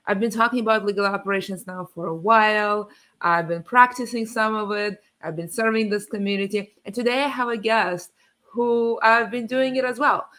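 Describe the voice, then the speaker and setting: A woman's voice: medium pitch, normal speed, a bit bright. A middle-aged woman hosting an interview podcast over a video call from home, enthusiastic and effusive. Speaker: podcast host. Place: home room with windows, indoors.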